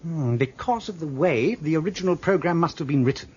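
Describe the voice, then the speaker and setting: A man's voice: a little high, lively intonation, fairly quick, darkish tone, breathy edge. A man playing a computer programmer in a radio drama, explaining things patiently and chattily. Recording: dull lo-fi audio with faint noise.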